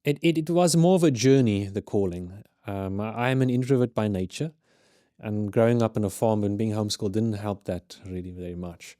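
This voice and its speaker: A man in his thirties with a South African accent tells his story in an earnest, measured way, often restarting his sentences. His voice sits low and mellow. It is recorded dry, with no room echo. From Zimbabwe. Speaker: guest, ministry leader. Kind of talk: podcast interview. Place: studio.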